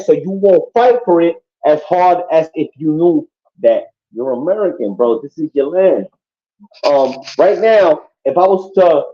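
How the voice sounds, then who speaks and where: A man from Puerto Rico talking casually and emphatically on a podcast, swearing often and checking in with his listeners. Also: a little high. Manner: profane, emphatic, keeps asking "you know what I mean". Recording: muffled lo-fi audio.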